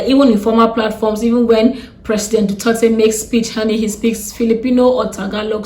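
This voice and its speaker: A young Nigerian woman with a strong Nigerian accent, talking fast and bluntly in a ranting vlog, exasperated and defiant. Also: a little high, darkish tone, reverberant. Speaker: vlogger.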